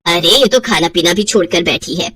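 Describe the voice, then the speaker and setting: A woman's voice: lowish voice, moderate pace, pushed and bright, slightly rough, recorded from a distance. A woman voicing an exasperated middle-aged mother who grumbles and nags in a Hindi cartoon story. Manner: grumbling, complaining.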